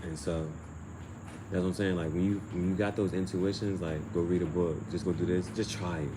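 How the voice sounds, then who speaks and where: A man's voice: sits low, steady tone, fairly quick, noisy background. A man telling personal stories in a casual livestream style, slangy and profane, often repeating phrases as he thinks aloud. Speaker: amateur tarot reader and channel host.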